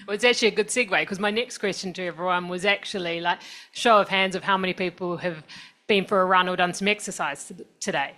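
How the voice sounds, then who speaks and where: A woman's voice: on the low side, quick pace, bright, forceful tone. A woman moderating a stage fireside chat, friendly and leading with questions. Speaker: panel moderator.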